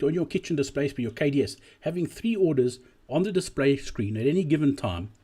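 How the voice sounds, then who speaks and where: A man giving an explanatory business presentation as a voice-over, speaking deliberately and emphatically and repeating key phrases, with a slightly husky voice. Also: mid-range voice, warm tone. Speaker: presenter analysing drive-through performance.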